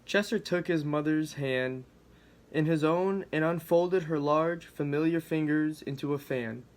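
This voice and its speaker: Man, fairly high male voice, reading aloud, children's story narration. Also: measured pace. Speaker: storybook co-reader.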